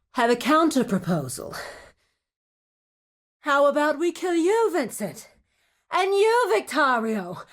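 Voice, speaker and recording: A woman playing a composed, dutiful lieutenant to a crime boss in a video game, speaking in a measured, formal, businesslike way. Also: sits high, a bit bright, full character voice. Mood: cold, menacing fury. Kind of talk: video game character voice acting.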